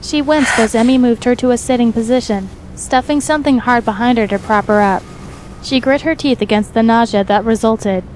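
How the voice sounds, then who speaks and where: A woman's voice: on the high side, a little fast, a little mellow, a little hiss or hum. A woman reading scripted fan fiction as the narrator.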